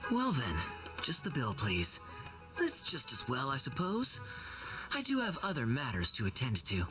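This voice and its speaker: An actor playing a suave, sly young knight captain in a video game, smooth and teasing. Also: male voice, medium pitch, wildly swooping pitch, moderate pace. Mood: nonchalant. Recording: music behind the voice.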